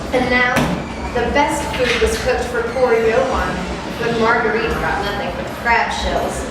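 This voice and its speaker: A woman reading a fairy tale aloud as a storyteller, with dramatic, expressive narration. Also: on the high side. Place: reverberant venue at a live event. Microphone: distant microphone. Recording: echoey, noisy room from far off.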